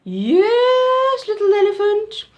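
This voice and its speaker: A woman's voice: extremely high pitch, very animated, slow pace, highs cut off, voice pushed up, exaggerated voice. A woman voicing an impatient, bossy auntie giraffe who scolds and shoos in a storybook puppet show. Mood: impatient, scolding. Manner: scolding, shooing, exasperated.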